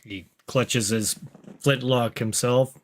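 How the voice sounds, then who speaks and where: Slow-paced, mid-pitched man roleplaying. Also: steady tone. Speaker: tabletop roleplaying player. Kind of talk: third-person game narration.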